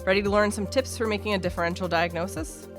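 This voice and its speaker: A woman's voice: mid-range voice, fast talker. A woman hosting a podcast, reading a scripted introduction to a segment. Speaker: podcast host. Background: music.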